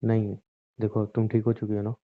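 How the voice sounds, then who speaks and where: A man acts out a devoted young husband in a romantic call drama. His voice is low and quite flat in melody, earnest and reassuring. It comes through muffled, like a phone line. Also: normal speed, no echo, natural voice. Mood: tender, reassuring. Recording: muffled, narrow phone-like audio.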